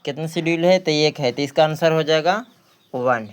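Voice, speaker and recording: A man's voice: a little high, moderate pace, smooth. A man with a Bihari accent teaching a maths practice lesson, repeating phrases and asking questions that he answers himself. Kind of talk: exam practice lesson, working through questions.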